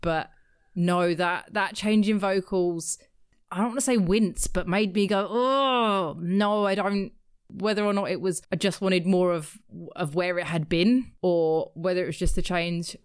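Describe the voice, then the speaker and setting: A woman's voice: fairly low pitch, steady tone, measured pace, clean tone, dry recording, clean studio sound. A tired woman co-hosting a podcast, rambling and self-deprecating as she talks about a song. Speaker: podcast co-host. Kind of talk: casual music review chat.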